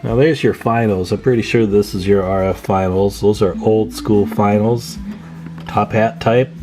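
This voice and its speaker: A man's voice: fairly low pitch, steady tone, unhurried, over music. A man giving a casual, hands-on walkthrough as a hobbyist, thinking aloud in a folksy, musing way. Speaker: hobbyist presenter.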